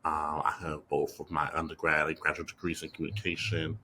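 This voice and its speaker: Very deep flat-voiced man. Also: a little hiss or hum. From Bahamas. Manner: measured, reflective, with frequent fillers. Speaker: guest, PR agency president. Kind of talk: conversational interview answers.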